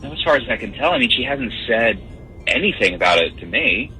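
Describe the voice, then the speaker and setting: Bright-voiced, animated man on a call. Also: mid-range voice, fairly quick, soft background music, poor audio. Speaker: caller on a radio phone segment. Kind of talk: conversational phone call.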